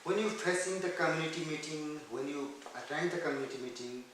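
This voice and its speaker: A man's voice: fairly high pitch, a little fast, a bit bright. Echoey man host asking long questions. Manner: broken, searching phrasing. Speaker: TV host interviewer. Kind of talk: interview questions. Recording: echoey room, distant mic, faint hiss.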